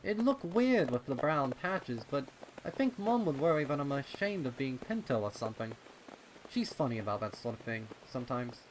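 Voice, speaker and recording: A man's voice: mid-range voice, sing-song, normal speed, a little hiss or hum, pitched above the performer's own voice, lightly acted, poor audio. A man voicing a young, earnest, slightly defensive colt pony in a storybook reading. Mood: earnest, unsure. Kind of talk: storybook character dialogue.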